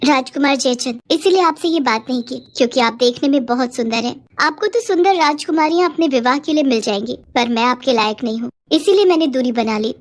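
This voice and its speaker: A woman plays a self-doubting young princess in a cartoon fairy tale. Her voice is high. She speaks with formal, modest courtesy. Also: a little fast, hardly any pauses, close to an everyday voice. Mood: resigned. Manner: polite, formal. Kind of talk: Hindi moral story cartoon.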